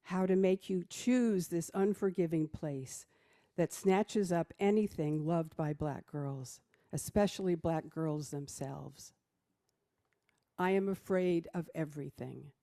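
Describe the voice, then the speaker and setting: A soft-spoken woman reads a poem at a worship service, taking her time with each line. Her voice sits low and mellow, gentle rather than projected. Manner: gentle, unhurried reading. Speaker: worship reader. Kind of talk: reading a poem aloud in a service.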